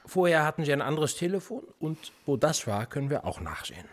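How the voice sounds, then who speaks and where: An actor playing a police interrogator in a radio play, sardonic and menacing, needling with theatrical rhetorical questions. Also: male voice, a little high, very animated, fairly quick. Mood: sly, menacing. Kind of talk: naturalistic German radio play acting.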